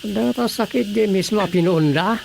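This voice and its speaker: A woman's voice: medium pitch, normal speed, gravelly, a little mellow, a little hiss or hum, poor audio. A woman playing a sensible young villager in an Ilocano radio drama. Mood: practical.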